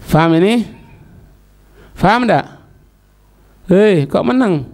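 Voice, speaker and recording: A man's voice: high voice, lively intonation, slow, darkish tone. A man with a Javanese accent teaching a religious lesson, didactic, repeating phrases and checking that students follow. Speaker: Islamic teacher.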